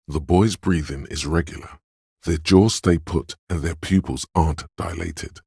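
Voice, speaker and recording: A watchful game perception sense, super deep rough voice, dry wry observations. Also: male voice, darkish tone, no room sound, exaggerated voice. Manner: measured, observant, dryly descriptive. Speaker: voice of a detective's perception skill. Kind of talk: scripted game voice lines.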